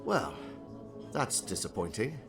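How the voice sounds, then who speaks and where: Man putting on a courtly gentleman, swooping pitch. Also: big pitch swings, slow, gravelly, music in the background, exaggerated voice. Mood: disappointed. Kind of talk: audiobook drama acting.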